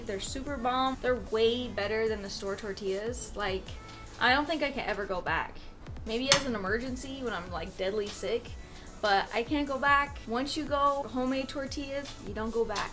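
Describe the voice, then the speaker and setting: A woman hosting her own cooking vlog, talking in a chatty, slangy, enthusiastic way. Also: fairly high pitch. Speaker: cooking vlogger host. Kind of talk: upbeat casual vlog intro. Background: music.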